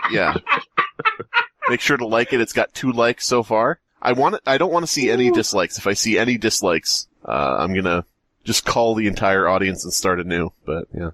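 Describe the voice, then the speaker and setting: A man's voice: fairly low pitch, a bit bright, no echo. A man hosting a comedy podcast, chatting casually in a rambling, joking way. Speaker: podcast host.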